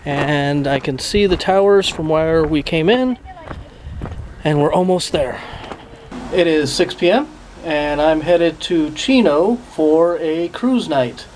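A man's voice: a little high, slow pace, poor audio. A man in his forties chatting casually to a handheld camera held at arm's length while he walks outdoors. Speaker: vlogger. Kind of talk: casual vlog narration. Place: outdoors on a hiking trail and in a parking lot. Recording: outdoor camera audio with steady background noise.

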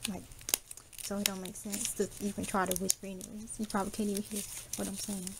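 Soft-spoken young woman vlogger. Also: level tone, fast talker, creaky, heavy background noise. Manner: soft-spoken, low and quiet. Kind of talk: casual vlog chat. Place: parked car.

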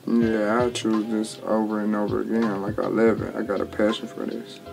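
A man's voice: fairly low pitch, completely flat delivery, moderate pace, creaky, darkish tone. A twenty-year-old man, a rapper, answering interview questions in a laid-back, drawling way with lots of slang and restarts, in a small home studio. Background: music bed under the talk.

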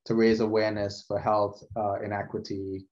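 A man moderating an online panel over a video call, speaking in a measured way with many "uh" fillers. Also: on the low side, little pitch movement, a little mellow, dull audio. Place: video call, suit, virtual background.

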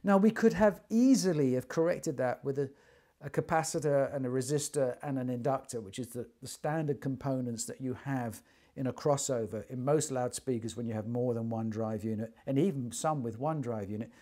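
A man's voice: mid-range voice, brisk, mellow. A man in his sixties giving an informal technical explanation in an emphatic, storytelling manner. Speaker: loudspeaker designer explaining his craft. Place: small furnished sitting room indoors.

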